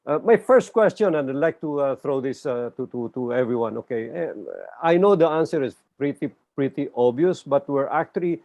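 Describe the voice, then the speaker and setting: A man's voice: medium pitch, expressive, moderate pace, darkish tone, dry recording. A man moderating a panel discussion, talking in a hesitant, conversational way with many fillers.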